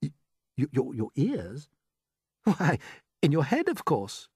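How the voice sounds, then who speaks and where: A man's voice: fairly high pitch, wildly swooping pitch, slow, a little mellow, slightly rough, no room sound, studio quality, heavily acted. A man voicing a small boy from a storybook, polite and curious, with an earnest, wide-eyed manner. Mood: puzzled, incredulous. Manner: earnest, wondering. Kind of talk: storybook character voice.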